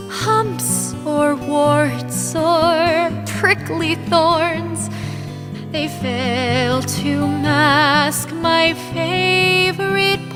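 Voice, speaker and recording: A gentle female storyteller played by a woman recites storybook verse very slowly in a very high voice. Loud music plays along, and a long hall reverb surrounds her. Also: full character voice.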